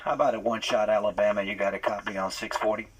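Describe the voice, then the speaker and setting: A man talks casually as the host of a hobby radio demo. His voice sits amid clear static and crackling radio chatter. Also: on the low side, flat delivery, fast talker. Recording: noisy room with radio static.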